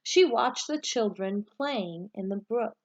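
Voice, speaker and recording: A woman teacher reading a storybook aloud to pupils and giving them instructions in a clear way suited to children. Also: on the high side, lively intonation, slow pace, low-quality recording.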